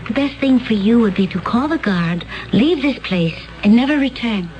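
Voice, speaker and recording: An actress playing a calm, mysterious woman from another planet, speaking in a solemn, measured way in a 1970s radio drama. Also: fairly high pitch, natural voice, poor audio.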